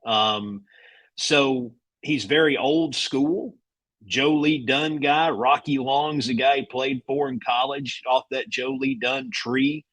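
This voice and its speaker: Bright-voiced man telling sports stories. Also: medium pitch, expressive, slow pace, no room sound, rough recording. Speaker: radio play-by-play broadcaster, guest. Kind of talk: casual sports talk and storytelling.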